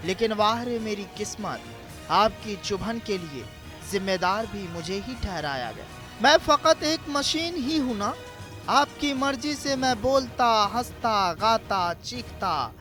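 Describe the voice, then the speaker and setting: A man voicing an aggrieved loudspeaker in a theatrical, plaintive monologue for television news. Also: extremely high pitch, heavily acted. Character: aggrieved talking loudspeaker, a machine pleading its innocence. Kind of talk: dramatic scripted monologue for TV news.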